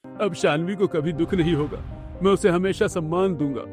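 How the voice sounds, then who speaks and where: An actor playing a remorseful young husband in a cartoon, speaking in an earnest, pleading way. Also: male voice, high-pitched, moderate pace, darkish tone, close to an everyday voice. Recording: music clearly behind the voice.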